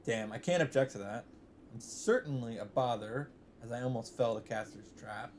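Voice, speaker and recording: A man hosting a let's play, chatting casually and reading game narration aloud, thinking out loud as he goes. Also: medium pitch, moderate pace, a little hiss or hum.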